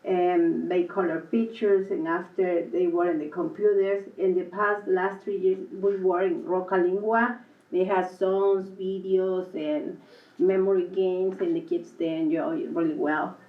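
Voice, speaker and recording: A woman's voice: lowish voice, steady tone, unhurried. A woman from Mexico with a Mexican Spanish accent, a world language teacher speaking simple, broken English in short phrases at a meeting. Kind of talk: presenting at a school committee meeting. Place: school meeting room. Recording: echoey room, distant, muffled.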